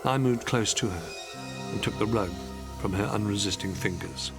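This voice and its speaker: A man's voice: on the low side, moderate pace, music in the background. A man reading an audiobook as a dramatic, scripted narration.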